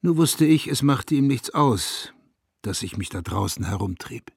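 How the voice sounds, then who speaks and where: An actor playing a brooding villager who recalls his lonely youth in a measured, reflective radio drama narration. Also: mid-range voice, expressive, creaky, darkish tone, husky, no echo, clean studio sound, exaggerated voice. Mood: wistful, confiding.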